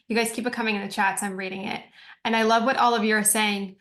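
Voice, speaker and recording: A woman from Croatia, a company co-founder, giving an earnest, energetic business pitch on a video call. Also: fast.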